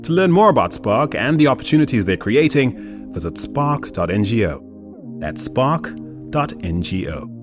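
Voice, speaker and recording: A man's voice: mid-range voice, big pitch swings, moderate pace, over music. A man hosting a podcast, moving between scripted narration and conversational interviewing. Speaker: podcast host.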